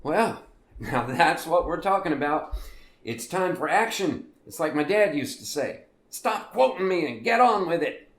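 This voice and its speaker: A man's voice: a little high, very animated, a little slow. A man playing a curious, sympathetic host of a strange-story show in an audio drama, often repeating his words. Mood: upbeat, decisive. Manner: hesitant, repeats words, encouraging. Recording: roomy, echoey voice recording.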